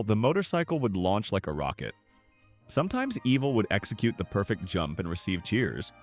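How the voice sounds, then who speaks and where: A man reading scripted nostalgic documentary narration. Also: lowish voice.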